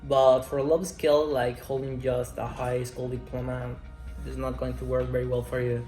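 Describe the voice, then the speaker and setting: A man in his twenties, a Spanish speaker, answering interview questions in slow, careful English. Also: medium pitch, monotone. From Mexico. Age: 20s. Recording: roomy echo, faint music.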